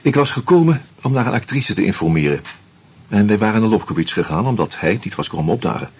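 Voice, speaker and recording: An actor playing a wry, uneasy screenwriter who narrates a radio play in a measured, reflective way with hesitant fillers. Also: male voice, lowish voice, big pitch swings, darkish tone, slightly rough, heavily acted. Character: wry, uneasy male screenwriter caught up in murders.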